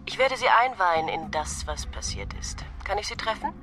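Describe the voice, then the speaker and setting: A composed, persuasive wife. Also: female voice, mid-range voice, wildly swooping pitch, normal speed, over loud music. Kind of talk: German radio play acting.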